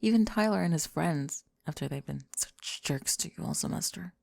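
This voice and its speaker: Woman acting a guarded, creaky-voiced college girl. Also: low-pitched, darkish tone, no echo, studio quality, full character voice. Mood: awkward, wistful. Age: young adult. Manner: halting, sighing, self-conscious. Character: guarded, flustered young female college student, secretly regretful. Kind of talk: ASMR roleplay, scripted intimate monologue. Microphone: close microphone, dry.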